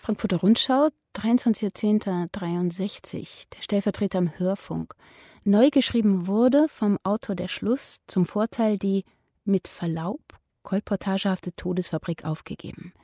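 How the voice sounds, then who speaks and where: A woman reads prepared text aloud in a mellow, husky voice. The sound is close and dry. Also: medium pitch, normal speed, no room sound. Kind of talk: reading announcements and reviews aloud.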